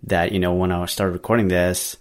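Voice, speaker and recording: Deep-voiced man hosting a podcast. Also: a little fast. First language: not English. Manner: relaxed, rambling with many fillers and restarts. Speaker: podcast host. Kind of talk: casual solo monologue.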